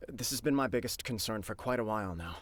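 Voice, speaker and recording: A male actor voices a dutiful young officer from a video game, heading his clan. The voice sits fairly low, and the delivery is earnest, humble and formal, a touch stiff. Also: lively intonation, fast talker, a little hiss or hum, close to an everyday voice.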